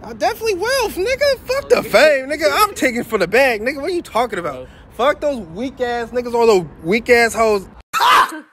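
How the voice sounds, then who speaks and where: A young man answering a street interview on a city street at night in a brash, profane, loud way. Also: very high voice, very animated, fairly quick, a little hiss or hum.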